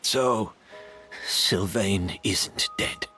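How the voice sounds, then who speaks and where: An actor playing a weary, bitter middle-aged master perfumer turned villain in a video game, speaking with theatrical, deliberate, drawn-out phrasing. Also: male voice, on the low side, pushed and bright, soft background music, exaggerated voice. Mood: wry, resigned. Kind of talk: dramatic video game voice acting.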